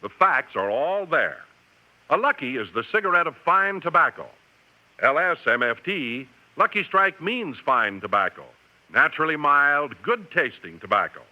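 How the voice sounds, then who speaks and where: Male radio announcer, 1950s sponsor pitchman, highish animated read, slightly muffled vintage broadcast. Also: moderate pace.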